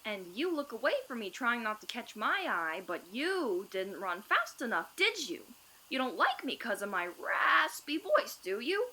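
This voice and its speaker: A teenage girl playing an eccentric, rambling bag lady who talks to aliens, with a raspy voice and a ranting, confiding stage-monologue delivery. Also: on the high side, very animated, measured pace, bright, forceful tone, heavily acted. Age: teens. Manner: ranting, confiding, addressing passers-by. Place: stage performance. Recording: echoey room with background noise.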